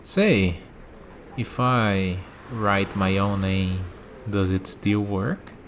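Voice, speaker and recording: A man playing a calm, curious villain in a scripted ASMR roleplay, musing and dryly teasing as he talks. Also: lowish voice, a little hiss or hum. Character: calm, curious young male villain bargaining with a death god.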